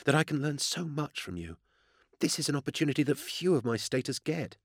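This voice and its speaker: Man playing an eager, high-pitched young inquisitor. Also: animated, brisk, no echo, studio quality. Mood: gushing, reverent. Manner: gushing, deferential, hesitant. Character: eager, earnest young inquisitor, fawning and yearning. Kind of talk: audiobook character dialogue.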